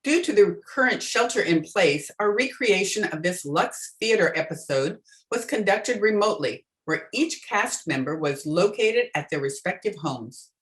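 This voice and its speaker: A woman's voice: medium pitch, expressive, moderate pace, hardly any pauses. A woman announcer reading scripted closing credits for a radio drama.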